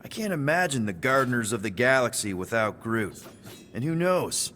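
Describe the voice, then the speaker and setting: A man's voice: medium pitch, big pitch swings, moderate pace, sharp tone. An easygoing crew leader from a space video game. His voice sits in a middle range and he talks in friendly, rambling slang, with faint noise behind him. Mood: sincere, friendly. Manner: casual, rambling, boyish slang.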